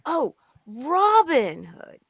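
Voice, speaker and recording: Woman playing young medieval maiden, high, lively intonation, coquettish, melodramatic, comic play reading. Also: extremely slow, vocal fry, no room sound, clean studio sound, exaggerated voice. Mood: coy. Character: coy, bluffing young maiden in a spoof play. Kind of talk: comedic amateur script read.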